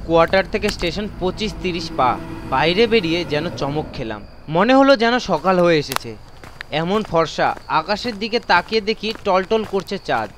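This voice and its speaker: A man's voice: high-pitched, expressive, moderate pace, fairly bright tone, a little hiss or hum. A man narrating a first-person horror story in a dramatised audiobook style. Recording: constant nature ambience effects behind voice.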